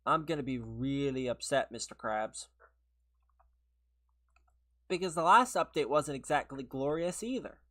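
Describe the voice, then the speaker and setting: A man's voice: mid-range voice, lively intonation, unhurried, long pauses, a bit bright. A man who is a little sick and sniffly, chatting casually on a game livestream, rambling and laughing as he answers viewers. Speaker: streamer. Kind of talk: casual livestream chat while gaming, replying to viewers.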